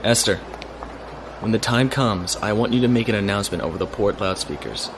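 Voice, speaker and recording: An actor playing a resourceful military lieutenant on the run in a science fiction audio drama. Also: male voice, on the low side, brisk, background noise, natural voice. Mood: urgent, planning.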